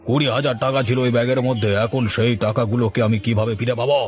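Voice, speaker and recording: Cartoon role: man playing a bossy villager, fast, threatening, faint music. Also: medium pitch, steady tone, natural voice. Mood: angry. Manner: commanding, threatening, brisk. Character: stern bossy village man, middle-aged. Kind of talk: Bengali cartoon voice acting.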